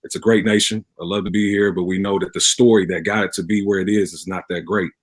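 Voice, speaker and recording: A man giving conversational interview answers, speaking haltingly and repeating words as he thinks. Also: low-pitched, fairly even intonation, no room sound. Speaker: pastor and radio drama producer, interview guest.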